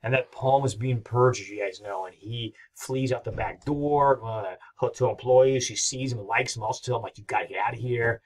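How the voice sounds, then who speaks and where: A man's voice: medium pitch, brisk, few pauses. A middle-aged man giving a recorded history lecture from his home office, talking in a rambling, enthusiastic way with many fillers. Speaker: history professor.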